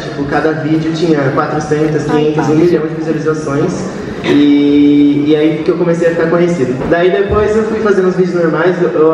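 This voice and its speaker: A young man with a fairly high voice speaks in a relaxed, slightly hesitant way, stopping and starting as he goes. He is on a stage with a handheld mic. The recording is distant and echoey, with a crowd audible in the hall. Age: young adult. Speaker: youtuber, channel host. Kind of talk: casual talk on a panel. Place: large hall stage, seated on a couch.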